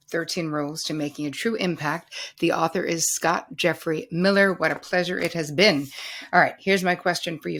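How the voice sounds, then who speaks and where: A woman's voice: on the low side, a bit bright. A woman hosting a podcast interview, offering brief affirmations and asking her guest questions. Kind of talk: interview chat.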